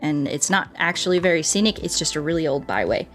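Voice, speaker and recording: An American woman chatting casually as she streams a game, telling things in an animated way and repeating herself for emphasis, with music behind her. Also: low voice, quick pace. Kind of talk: casual chat while playing a game, reading viewer comments.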